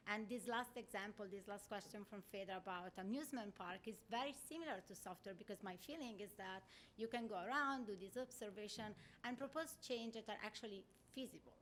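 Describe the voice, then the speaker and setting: A woman's voice: medium pitch, fairly quick, a bit bright, slight background noise. Brief: middle-aged Italian-accented woman, native Italian, academic, asking an audience question, feels out her phrasing. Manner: searching for words, frequent "you know" and "right?". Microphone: audience microphone.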